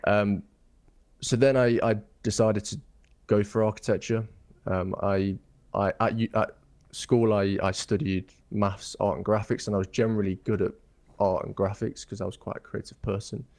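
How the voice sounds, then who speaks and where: Young man, low voice, rambling. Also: fairly even intonation, normal speed, a little mellow. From England. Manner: thoughtful, rambling, many ums and restarts. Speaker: guest architect. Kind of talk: casual interview chat.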